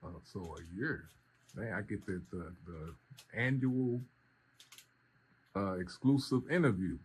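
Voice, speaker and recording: Low-voiced male interviewer, slangy and informal. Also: unhurried, darkish tone. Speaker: interviewer, show host. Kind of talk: casual interview. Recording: noticeable background room noise.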